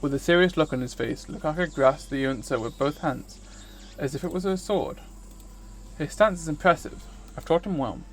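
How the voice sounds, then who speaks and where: A man's voice: on the high side, normal speed, slight background noise. A man streaming a visual novel, reading its narration aloud. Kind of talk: scripted narration read aloud.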